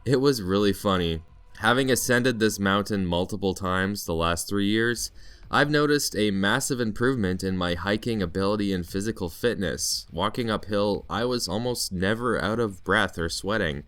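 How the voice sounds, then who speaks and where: A man's voice: fairly low pitch, moderate pace, fairly bright tone. A Canadian man narrating a scripted vlog in a playful storytelling way with mock-dramatic flourishes. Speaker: vlog narrator, teacher in Japan.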